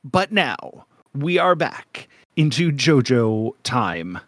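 A young man co-hosting a casual podcast, rambling through jokes and breaking into laughs. His voice sits at a middling pitch and is recorded very dry, close to the mic with no room sound. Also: very animated, slow, no room sound. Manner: jokey, rambling, laughs often. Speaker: podcast co-host.

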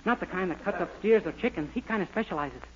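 A man's voice: high voice, expressive, quick pace, fairly bright tone. An actor playing a naive, eager young man who spins wild tales in a 1940s radio sitcom. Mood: deadpan. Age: young adult. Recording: muffled, hissy old 1940s radio recording.

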